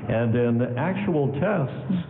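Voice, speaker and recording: A man's voice: mid-range voice, lively intonation, slow. Casting: male old-time radio announcer, scripted delivery, echoey stage, narrow tinny band, faint noise. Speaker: announcer and host. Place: live on stage in a town hall theatre. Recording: thin phone-like sound, echoey hall, noisy.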